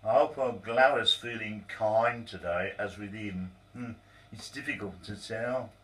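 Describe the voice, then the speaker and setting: A man's voice: fairly low pitch, unhurried, a bit bright, distant mic. A man voicing a nervous yet stubborn male clerk who splutters and insists he is right. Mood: insistent.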